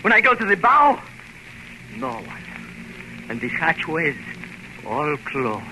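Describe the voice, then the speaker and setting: An actor playing a frightened, superstitious foreign sailor speaking broken, halting English in 1930s radio drama style. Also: male voice, on the high side, huge pitch swings, sharp tone, exaggerated voice. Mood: fearful, agitated. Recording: noisy old 1930s radio recording.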